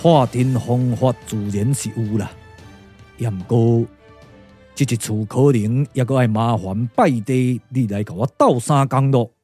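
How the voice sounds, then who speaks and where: A man with a Taiwanese accent voicing a solemn, calculating sage strategist in a puppet radio drama, speaking slowly, deliberately and persuasively. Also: mid-range voice, warm tone, husky, soft background music. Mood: hesitant pleading. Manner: slow, deliberate, weighty delivery.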